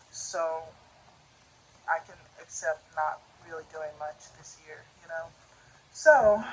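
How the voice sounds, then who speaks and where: Twenties woman vlogging, lowish voice, rambly chatty, bit of hum behind. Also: poor audio. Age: 20s. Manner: chatty, thinking aloud, frequent "you know". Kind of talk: casual vlog talk.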